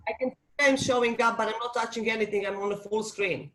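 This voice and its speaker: A woman's voice: fairly high pitch, steady tone, fast, a bit bright, poor audio. Earnest woman giving a webinar talk. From Serbia. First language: Serbian. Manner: earnest, with frequent ums and restarts. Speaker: wellness coach and guest speaker. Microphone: video call.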